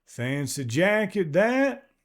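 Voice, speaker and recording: A man voicing a wary, weathered older frontier man with an odd near-Southern drawl, gruff and clipped, in a storybook reading. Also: sits high, big pitch swings, slow pace, voice pushed up, heavily acted. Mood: curious. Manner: gruff, clipped, old-fashioned folksy speech.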